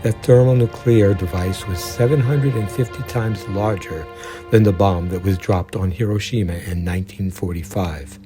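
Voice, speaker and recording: A man's voice: sits low, normal speed. A man reading scripted documentary narration of true stories. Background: music.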